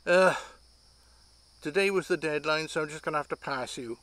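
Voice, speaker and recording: A man, talking casually and haltingly to camera outdoors, rambling as he thinks aloud. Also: fairly high pitch, fairly bright tone. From Great Britain. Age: 50s. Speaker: hobbyist vlogger. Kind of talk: casual unscripted talk to camera. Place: outdoors among trees.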